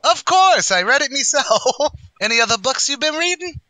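A man's voice: very high-pitched, animated, moderate pace, sharp tone, no room sound, full character voice. A man playing a boastful, uneducated tavern manager in a radio comedy, with folksy, ungrammatical wise-guy patter. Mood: boastful, bluffing. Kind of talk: old-time radio comedy acting.

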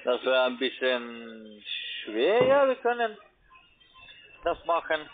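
Halting foreign-accented man on the phone. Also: medium pitch, sing-song. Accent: foreign accent in German. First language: not German. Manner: halting, broken grammar. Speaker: phone scam caller posing as payment agent. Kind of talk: phone conversation, giving instructions. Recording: muffled narrowband phone line.

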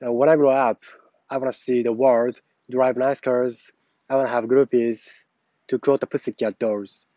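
A man's voice: medium pitch, steady tone, normal speed, dark tone, no echo, dull audio. Man reciting a memorized speech. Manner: recites from memory, repeating and correcting phrases. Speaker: English learner reciting a speech. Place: beside a plain wall with a vent.

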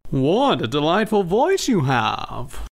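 A man's voice: fairly high pitch, wildly swooping pitch, slow, exaggerated voice. A man playing a cheerful, complacent father frog in an audio drama, talking in a garrulous, genial, self-satisfied way. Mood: chatty, delighted. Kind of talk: audio drama character acting.

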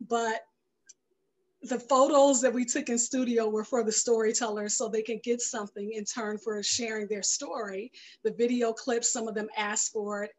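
A middle-aged woman guest telling her story casually in a video-call interview, often acting out what was said. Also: on the high side. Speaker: guest filmmaker. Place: indoor room at home, video call. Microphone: headset on a video call.

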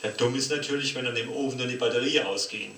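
A man rambles through a comic monologue in a colloquial way. His bright voice comes from a cassette player in a hall, echoey and picked up from afar. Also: mid-range voice, quick pace. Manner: colloquial, rambling, with tag questions. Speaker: poetry slam performer. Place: cassette playback in a cinema hall, picked up from the audience. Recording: distant, echoey, faintly noisy cassette playback in hall.

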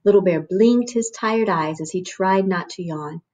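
A woman's voice: medium pitch, unhurried, warm tone, soft background music. A woman, narrating a picture book expressively for children as a storyteller. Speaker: read-aloud host, storyteller. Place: small room at home, on a couch.

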